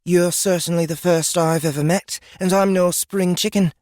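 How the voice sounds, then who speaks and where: Narrator as a brash high-voiced fantasy woodswoman. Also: fairly even intonation, normal speed, darkish tone, no echo, studio quality, lightly acted. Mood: excited, knowing. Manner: blunt, amused, exclamatory. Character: brash, mocking, experienced woodswoman of fantasy world.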